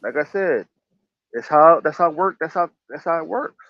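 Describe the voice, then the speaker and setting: A man's voice: on the high side, lively intonation, moderate pace, no room sound. A man hosting a casual talk show, giving motivational advice in an emphatic, preachy way and repeating his phrases. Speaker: podcast host. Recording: dull lo-fi sound.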